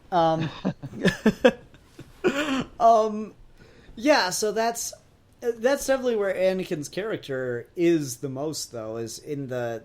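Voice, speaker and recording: A man's voice: mid-range voice, darkish tone. A man talking casually as a podcast host, opinionated and emphatic, swearing freely. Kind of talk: casual pop-culture podcast discussion.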